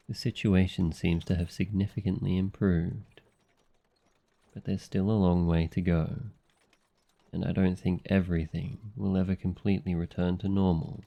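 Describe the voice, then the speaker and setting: A man playing a devoted, jealous young demon familiar in love, speaking softly and intimately in an ASMR boyfriend roleplay. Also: sits low, vocal fry.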